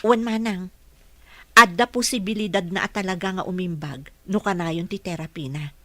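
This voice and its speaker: An actress with a Filipino Ilocano accent playing an earnest, caring young caregiver in a radio drama. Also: female voice, fairly low pitch, moderate pace, a bit bright, no echo, close to an everyday voice. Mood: earnest, worried.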